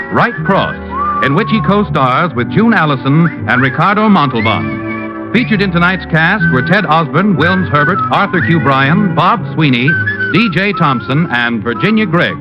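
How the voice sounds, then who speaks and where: Animated vintage radio announcer, male. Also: on the high side, moderate pace. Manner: formal, polished, emphatic. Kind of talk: 1950s radio credits and promo announcing. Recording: muffled old radio recording with music.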